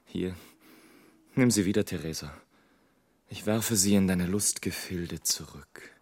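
An actor playing a melancholic, dreamy airship traveller in a German radio play, speaking in a poetic, theatrical monologue. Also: male voice, low voice. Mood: rapturous, wistful. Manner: slow, poetic, dramatic delivery with swells and hushed drops.

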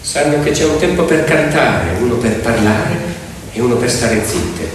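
A man narrating as an actor in dramatic stage storytelling, declamatory and deliberate, in an echoey hall. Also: mid-range voice, very animated, a little hiss or hum. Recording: echoey hall, distant, loud music behind.

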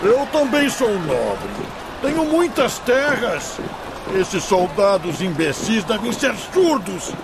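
A man's voice: high-pitched, very animated, slow, heavily acted. An actor playing a haughty, scornful nobleman in a radio drama, pompous and sneering, with noise behind him. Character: haughty, scornful landowning noble among the damned. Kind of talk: melodramatic radio drama acting. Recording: loud background noise under the voice.

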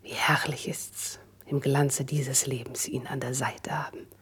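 An actress playing a cultivated, ambitious court lady and confidante in a classical verse radio play, with husky, breathy, measured and intimate declamation. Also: rumbling low, flat delivery, in a whisper, full character voice. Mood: covetous admiration.

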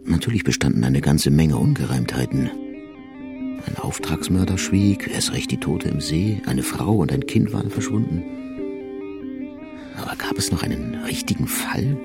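A man's voice: rumbling low, animated, normal speed, over loud music. A man playing a dry, stubborn chief inspector in a radio crime drama, terse and sceptical. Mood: brooding. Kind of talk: German radio play dialogue and narration.

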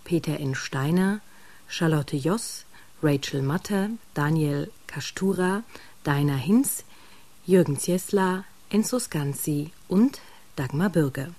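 A woman radio announcer reading credits in a measured, formal way. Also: low voice, a little mellow.

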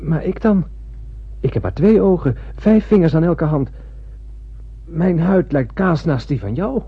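A man's voice: a little high, expressive, a little slow, breathy edge, exaggerated voice. An actor playing a wondering, nervous spaceman who narrates a radio drama in a musing, theatrical way. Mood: amazed. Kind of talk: dramatic first-person radio play narration. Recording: narrow lo-fi old radio recording, faint noise.

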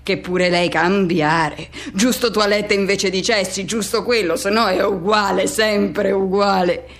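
A woman's voice: mid-range voice, fairly even intonation, unhurried, lightly acted. A woman playing a restless, solitary middle-aged man in a radio drama monologue, speaking colloquially in short broken phrases as if questioning himself. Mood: wistful, hesitant.

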